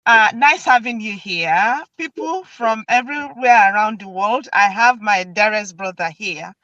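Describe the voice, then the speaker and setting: A woman's voice: medium pitch, a little slow, dry recording, highs cut off. A woman from Nigeria with a Nigerian accent, hosting a casual chat and laughing often. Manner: laughs often, animated and chatty. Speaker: host.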